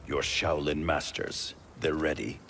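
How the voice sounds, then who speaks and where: An actor playing a stern, suspicious warrior monk in a video game cutscene, speaking formally and accusingly. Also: male voice, very deep voice, level tone, a little slow, noisy background. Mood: grave.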